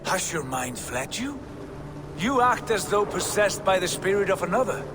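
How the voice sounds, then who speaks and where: High-pitched, solemn Norse war god. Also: male voice, sing-song, moderate pace, music in the background. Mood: urgent, admonishing. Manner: solemn, admonishing. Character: steadfast, principled male Norse war god. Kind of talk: video game cutscene acting.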